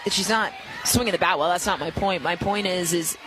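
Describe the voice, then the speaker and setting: A woman giving conversational, opinionated colour analysis on a softball radio broadcast, speaking emphatically. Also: on the low side, fairly quick, crowd noise, noisy background.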